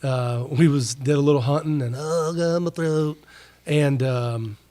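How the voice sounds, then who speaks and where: A man's voice: on the high side, measured pace, dark tone. A middle-aged man with a stuffy, clogged-up voice from a recent illness, co-hosting a podcast. He talks in loose, rambling stretches, breaking off and starting again, and his phrasing is folksy and full of slang. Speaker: podcast co-host. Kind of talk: casual chat.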